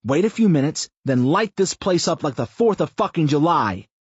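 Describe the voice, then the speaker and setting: A man's voice: a little high, animated, normal speed, a little mellow, slightly rough, dry recording, voice pushed up. A male narrator voicing a swaggering, profane man who gives orders in an audiobook. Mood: firm, cocky. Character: cocky foul-mouthed man giving orders. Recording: muffled lo-fi audio.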